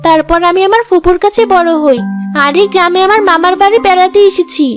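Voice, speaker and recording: A kind, polite little orphan girl in a cartoon, voiced by a woman at a squeaky, very high pitch. She talks eagerly and respectfully. Light cartoon music runs beneath her. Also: a little fast. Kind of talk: cartoon voice acting. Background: background music.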